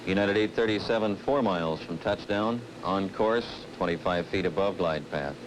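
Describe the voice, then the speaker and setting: A man playing a steady radar approach controller, giving precise instructions in formal radio phrases. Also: sits low, moderate pace, natural voice. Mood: steady, focused. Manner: methodical, even, precise. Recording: noisy old film soundtrack.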